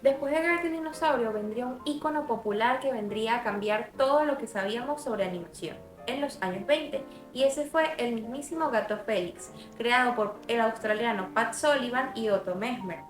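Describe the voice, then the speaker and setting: High-pitched young woman in a roomy space. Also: moderate pace, few pauses. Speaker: student narrator. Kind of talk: scripted documentary narration. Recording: roomy voice-over with music bed.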